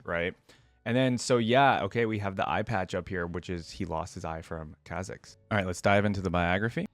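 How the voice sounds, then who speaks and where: A man's voice: on the low side, no room sound. A man hosting a reaction video, reading lore aloud and adding casual, conversational asides as he goes.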